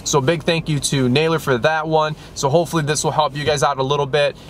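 A chatty, upbeat man in his thirties talks to his viewers from inside a parked car. His voice sits a little high for a man, and a faint hum hangs behind him. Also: flat delivery, fast talker. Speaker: lawn care YouTuber. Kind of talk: casual vlog update. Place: parked vehicle, indoors. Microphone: camera on dashboard.

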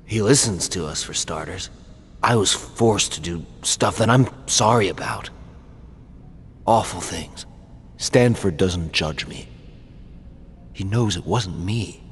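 A man's voice: sits low, slow, pauses often. A male actor voicing a weary, remorseful former thrall who confides his troubles, in a video game. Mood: troubled, confiding. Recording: long reverb, faint noise.

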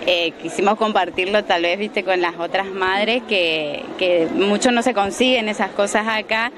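A young woman, a craft vendor explaining her products warmly with fillers in an interview. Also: mid-range voice, unhurried. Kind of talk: casual interview. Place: large indoor fair hall. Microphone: handheld mic. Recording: background noise.

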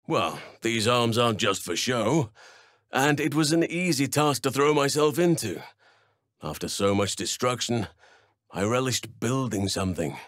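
An actor playing a gentle, solemn, protective elf druid in a fantasy video game, speaking in measured, earnest, formal phrases. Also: male voice, medium pitch, animated, no echo, studio quality. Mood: calm, thoughtful.

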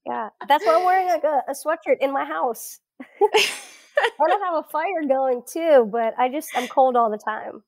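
A woman's voice: sits high, animated, unhurried, no echo. A woman in her thirties from South Florida, chatting as a guest in a casual interview, with many fillers and restarts. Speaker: guest researcher.

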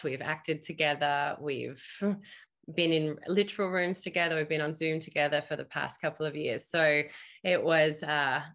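A woman's voice: low voice, fairly bright tone. A middle-aged woman, a theatre director, thinking aloud in a talkback discussion on a video call. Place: room at home.

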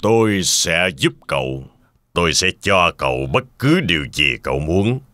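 A man playing a huge, calm, benevolent genie in a cartoon fairy tale, speaking slowly and solemnly. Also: low voice, no echo, heavily acted. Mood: solemn, reassuring. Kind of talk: animated fairy tale dub.

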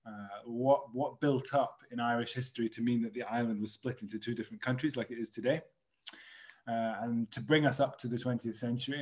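A Scottish man in his thirties guides a live online tour from a small room at home. His mid-range voice stays relaxed and conversational, full of fillers and self-corrections. The sound comes through a webcam mic on a video call. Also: medium pitch. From Scotland. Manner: relaxed, chatty, frequent fillers and restarts. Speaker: tour director. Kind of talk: casual virtual tour presentation.

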